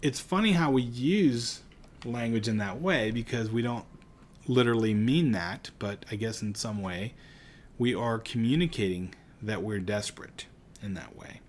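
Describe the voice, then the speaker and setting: A man's voice: mid-range voice, normal speed, a little hiss or hum. A man from Pittsburgh with a Pittsburgh accent, teaching a recorded lecture in a chatty, conversational way with casual asides.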